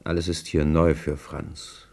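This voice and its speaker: A man narrating a scripted radio play as a measured storyteller. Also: rumbling low, level tone, gravelly, darkish tone, heavily acted.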